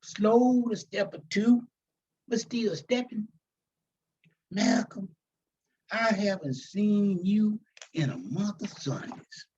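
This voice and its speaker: Man reading as a feisty elderly churchgoing grandmother, lively, husky. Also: high-pitched, takes it slowly, pauses often, highs cut off, voice pushed up, full character voice. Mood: playful. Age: older. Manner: folksy, teasing, preachy.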